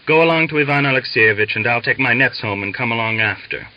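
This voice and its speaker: A man's voice: mid-range voice, fast talker, dull audio, natural voice. A man voicing an anxious, cautious young war-worn Cossack fisherman in an audiobook, with an uneasy, questioning manner. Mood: muttering. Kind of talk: dramatised audiobook dialogue.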